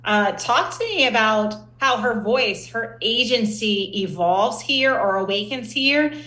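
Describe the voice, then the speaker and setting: A woman's voice: fairly high pitch, animated, unhurried, pushed and bright. A woman hosting a podcast interview in a conversational, emphatic and engaged way. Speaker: podcast host. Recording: roomy, echoey sound.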